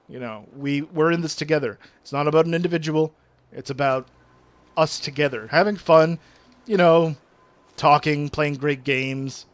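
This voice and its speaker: A man's voice: on the high side, smooth, no room sound. A man with a regional French accent, a native French speaker, chatting casually with viewers on a game stream and reading their messages aloud. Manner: chatty, reads chat aloud, uses big words.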